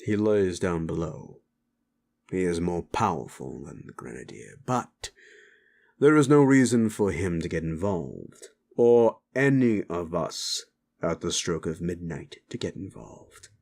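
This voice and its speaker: A man plays a weary, stern keeper of an underworld club in an audio drama. The voice sits low and moves slowly. He scolds with measured authority. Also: expressive, vocal fry, a little mellow, heavily acted. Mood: stern warning. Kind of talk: dramatic audio roleplay acting.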